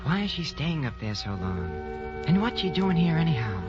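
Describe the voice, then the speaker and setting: An actor playing a brash, cheerful young grandson in a sentimental radio drama. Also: male voice, fairly high pitch, big pitch swings, moderate pace. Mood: puzzled. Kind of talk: sentimental old-fashioned radio drama acting. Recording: muffled old radio recording with clear music.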